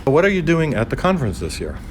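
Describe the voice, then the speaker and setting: A man's voice: fairly high pitch, big pitch swings, fast. A man working as a roving podcast interviewer, talking casually at a conference venue. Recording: noisy ambience of a busy venue. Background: conference ambience.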